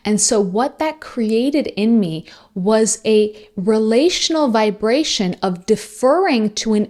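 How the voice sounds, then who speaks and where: A woman who is a native Persian speaker, hosting a reflective monologue in a measured, deliberate way with long pauses. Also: mid-range voice, a little mellow. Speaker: host.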